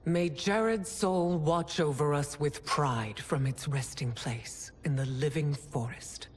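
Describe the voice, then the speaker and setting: A woman playing an imperious fantasy empress in a cinematic game, speaking in a formal, measured, commanding way. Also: sits low, slightly rough, exaggerated voice. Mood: stately welcome. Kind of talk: cinematic video game acting.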